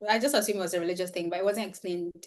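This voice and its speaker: A woman's voice: lowish voice, fairly even intonation, racing. A woman speaking casually as a panelist in a group book discussion.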